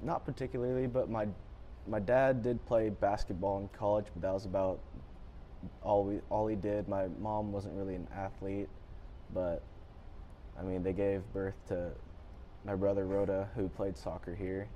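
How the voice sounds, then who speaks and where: A man's voice: on the low side, little pitch movement, normal speed, dark tone, lo-fi sound, a little hiss or hum. A teenage boy born in Japan, answering interview questions politely and often saying "yes, sir". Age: teens. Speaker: student athlete guest.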